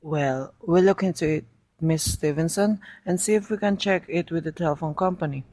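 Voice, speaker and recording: A woman's voice: low voice, moderate pace, darkish tone, dry recording. A woman playing a brusque, dismissive police desk sergeant in a suspense radio drama, patronizing and placating. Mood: businesslike. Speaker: student radio drama actor.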